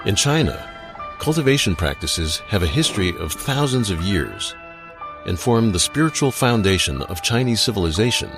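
A man's voice: on the low side, big pitch swings, normal speed, music in the background. A man reading scripted documentary narration for an informational video.

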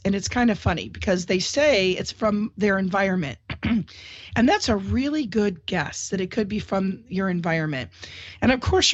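A woman hosting a solo talk show, speaking in an upbeat, chatty, encouraging way while getting over a cold and clearing her throat now and then. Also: mid-range voice, no echo. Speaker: host. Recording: dull dry lo-fi audio.

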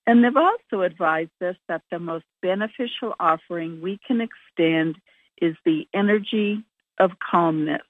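A woman's voice: on the low side, a little slow. A woman hosting a conference call and introducing the next segment. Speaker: host. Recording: tinny dry phone line.

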